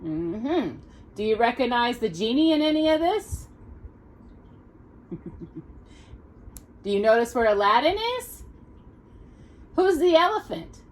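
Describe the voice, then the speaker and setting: A woman reading a children's story aloud expressively, pausing to put questions to a young listener. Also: on the high side, sing-song, poor audio. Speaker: storyteller and host.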